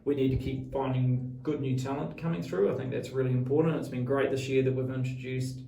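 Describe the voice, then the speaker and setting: Gravelly New Zealand man in an echoey room. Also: mid-range voice, fairly even intonation, a little fast, warm tone. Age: middle-aged. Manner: hesitant, thoughtful, repeats words while forming points. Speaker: radio executive, interview guest. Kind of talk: long-form interview conversation. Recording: roomy echo, distant from mic.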